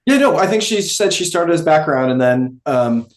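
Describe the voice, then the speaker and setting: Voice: medium pitch, big pitch swings, moderate pace. Acting student chatting casually, kinda hesitant, hunting for words, echoey room. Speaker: acting class participant. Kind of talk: casual chat. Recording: roomy, echoing sound.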